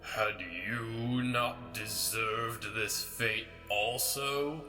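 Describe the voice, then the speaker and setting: Man playing a deep, bright-edged ghost of a murdered king, cold and taunting, dragging every word out over soft music in a radio-play style. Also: steady tone, very slow, exaggerated voice. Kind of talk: audio drama acting.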